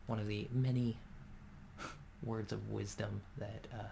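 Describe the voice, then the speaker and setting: Soft-spoken gravelly low man. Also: level tone, takes it slowly, noisy background. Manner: soft-spoken, rambling with many fillers. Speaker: ASMR creator. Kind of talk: soft-spoken ASMR ramble.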